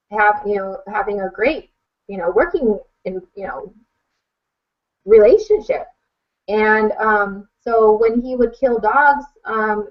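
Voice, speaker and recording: A woman speaking emphatically and frankly as a podcast guest, giving a casual personal account. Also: a little high. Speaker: animal rescue advocate, podcast guest. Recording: dull lo-fi podcast recording.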